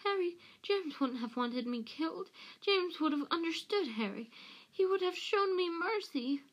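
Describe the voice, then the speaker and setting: Woman putting on a high, whining, cowering man. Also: expressive, unhurried. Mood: wheedling, desperate. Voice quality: whining, gasping. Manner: wheedling, tearful, stammering pleas. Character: cowering, pleading, terrified middle-aged man, whining traitor.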